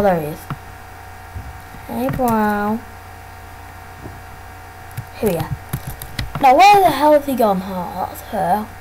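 A woman casually commenting on her gameplay, excitable and often exclaiming. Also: mid-range voice, background noise.

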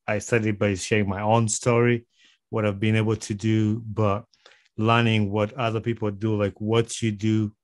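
A man with a Ugandan accent chats casually as a podcast host. His voice sits fairly low, and he is recorded close and dry on a studio microphone. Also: dry recording. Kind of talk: casual interview chat. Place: small home studio, indoors. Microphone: close podcast microphone on boom arm.

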